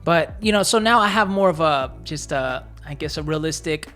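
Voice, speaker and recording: Brief: deep-voiced US woman, reflective, filler-heavy casual podcast co-host. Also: expressive, moderate pace, a bit bright, soft background music. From United States. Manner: reflective, talks in long searching sentences with many fillers. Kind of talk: casual chat.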